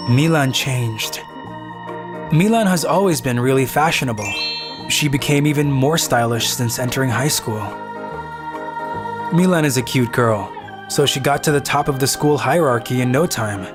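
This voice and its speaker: A woman's voice: rumbling low, moderate pace, music in the background. A woman voicing a timid, introverted teenage schoolboy in a manga dub, hesitant in manner. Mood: glum, resigned. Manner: hesitant, stammering when addressed. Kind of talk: manga dub narration and acting.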